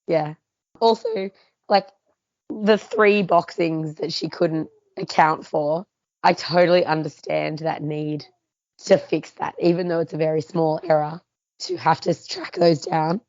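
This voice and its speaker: Low-voiced chatty woman. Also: fairly even intonation, a little slow, a little mellow, no echo, highs cut off. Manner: chatty, laughs often, trails off mid-thought. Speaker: podcast co-host. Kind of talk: casual book club chat.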